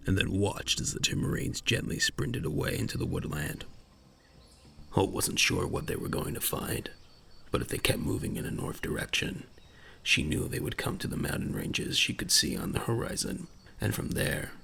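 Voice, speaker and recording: A man with a deep, gravelly voice reading dramatic scripted narration in a deliberate, suspenseful way. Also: bright, forceful tone.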